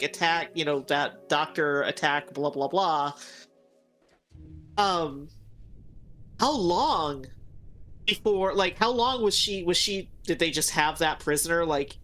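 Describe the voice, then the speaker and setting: A woman co-host chatting casually about a game, thinking aloud in a halting way. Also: fairly low pitch, fairly bright tone. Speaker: gaming co-host. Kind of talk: casual chat reviewing a game.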